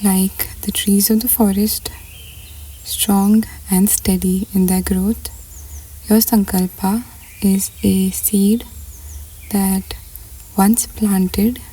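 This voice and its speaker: A woman leading a scripted guided meditation in a soft, breathy voice that often nears a whisper, unhurried and measured with pauses between short phrases. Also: mid-range voice.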